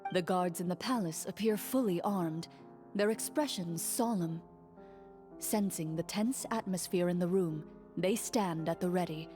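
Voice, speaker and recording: A woman narrating a tabletop game as game master, calm and measured. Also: fairly low pitch, natural voice. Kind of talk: scripted narration.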